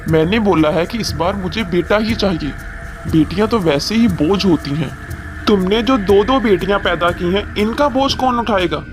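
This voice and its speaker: A man's voice: sits high, moderate pace, close to an everyday voice. A man voicing a harsh, domineering father bird in a moral-story cartoon, with a scolding, boastful way of talking. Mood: angry, threatening. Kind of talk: Hindi cartoon voice acting. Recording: reverberant hall sound over music.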